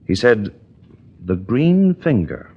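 A man's voice: medium pitch, very animated, slow pace, husky, exaggerated voice. An actor playing an earnest, emphatic British chief inspector, deferential to his superior, in a 1940s radio drama. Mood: recounting. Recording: dull, muffled 1940s radio recording.

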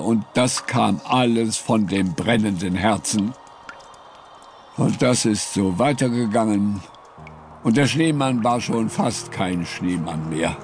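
Low gravelly guy reading a winter fairy tale slow, music playing under him. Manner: slow, lively storytelling with gravelly tone. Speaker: audiobook narrator. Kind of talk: scripted fairy-tale narration. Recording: studio read with clear music bed.

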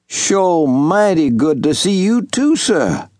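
A man voicing a loyal, humble elderly farm servant who speaks in a slow, earnest Southern rural drawl. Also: a little high, sing-song, darkish tone, no echo, clean studio sound, pitched above the performer's own voice. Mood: warm. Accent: Southern US rural dialect. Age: older.

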